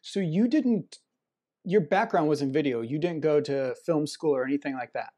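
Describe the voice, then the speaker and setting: Male podcast host, slightly high register, relaxed mellow tone, conversational interviewer. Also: normal speed.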